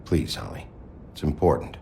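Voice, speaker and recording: An actor playing a gruff, weary lawman in a video game, curt and brusque. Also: sing-song, moderate pace, warm tone, slight background noise, exaggerated voice. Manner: curt, low-key, brusque.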